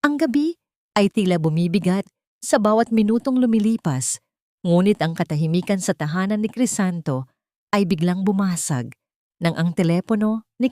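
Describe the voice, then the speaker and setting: Female narrator for audio drama: lowish voice, suspenseful and solemn, very dry sound. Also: lively intonation, moderate pace, mellow, dry recording. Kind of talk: scripted dramatic story narration.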